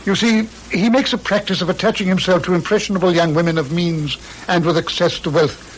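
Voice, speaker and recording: An actor playing a pompous, condescending English doctor and detective in a vintage radio drama, speaking precisely. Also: male voice, sits high, steady tone, fairly quick, close to an everyday voice. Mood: smug, dry. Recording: noisy old radio recording.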